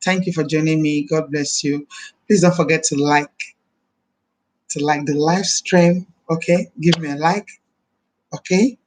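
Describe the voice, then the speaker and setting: A woman in her thirties, a minister, chatting on a live stream. Her voice is deep this morning, and she talks in a friendly way, repeating phrases and blessing her viewers. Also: fairly even intonation, a little slow, long pauses, a little mellow, a little hiss or hum.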